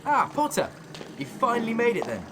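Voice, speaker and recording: A man's voice: very high-pitched, huge pitch swings, fairly quick, background noise, exaggerated voice. An actor playing a cheeky English teenage boy in a video game, a male joke-shop salesman. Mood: cheery, salesy.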